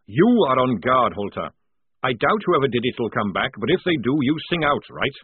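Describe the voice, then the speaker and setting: A man reading an audiobook, voicing a gruff, shrewd old army sergeant who barks orders. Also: medium pitch, very animated, moderate pace, no echo, pitched above the performer's own voice. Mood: commanding. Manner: barking orders. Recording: dry, tinny phone-like sound.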